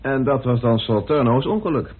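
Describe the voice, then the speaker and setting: A man's voice: medium pitch, normal speed, slightly rough, lightly acted. An actor in a radio play voicing a probing, formal man who questions acquaintances precisely. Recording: muffled phone-like old radio recording.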